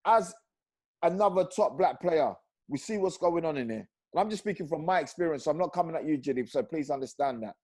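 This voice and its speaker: A man, a guest on a video-call panel, talking passionately and rising to loud emphatic peaks. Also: fairly high pitch, animated, brisk. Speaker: panel guest, former professional footballer.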